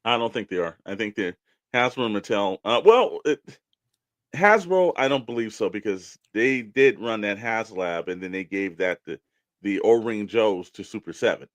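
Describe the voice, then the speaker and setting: A man with a fairly low voice talks as a podcast co-host. He rambles through his opinions in a loose, colloquial style, and the sound is close and dry. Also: expressive, normal speed, no echo. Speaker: podcast co-host discussing collectible toys. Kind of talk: casual unscripted opinion chat.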